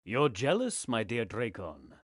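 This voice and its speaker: An actor playing a solemn, commanding lord in a fantasy game, speaking in formal, measured courtly phrases. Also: male voice, mid-range voice, wildly swooping pitch, no echo, studio quality. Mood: stately. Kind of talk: fantasy game cutscene acting.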